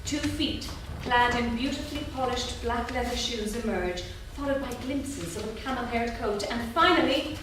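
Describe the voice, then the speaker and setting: A woman's voice: on the high side, moderate pace, hardly any pauses. A woman reading stage directions as scripted narration at a staged reading. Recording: noisy, very echoey theatre, distant mic.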